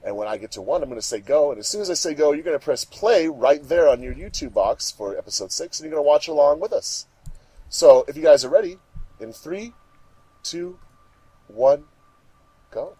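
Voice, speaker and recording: A man's voice: fairly high pitch, lively intonation, moderate pace, dark tone, breathy edge, no room sound, low-quality recording. A man hosting a fan commentary track, introducing things casually in an upbeat, announcer-like way with emphatic stresses. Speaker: host of a fandub commentary track.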